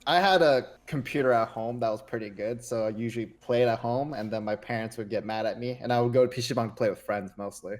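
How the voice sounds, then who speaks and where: A man's voice: medium pitch, quick pace, few pauses. A man born in Korea and raised partly in the US, a native Korean speaker, answering casually as an interview guest. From born in Korea, raised between Korea and the US. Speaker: podcast guest, esports agent.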